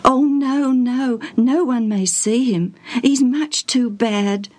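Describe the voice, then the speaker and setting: A woman's voice: on the high side, slow pace, a little mellow, pitched above the performer's own voice. Woman playing a tearful old nursemaid. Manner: sobbing, breathless, rustic turns of phrase. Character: devoted older female nursemaid, tearful and fretful. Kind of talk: storybook reading.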